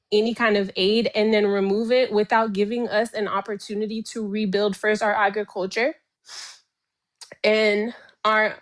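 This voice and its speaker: Haitian woman arguing politics, slow and deliberate, leans hard on her points. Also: medium pitch. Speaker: guest debater. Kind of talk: heated political debate.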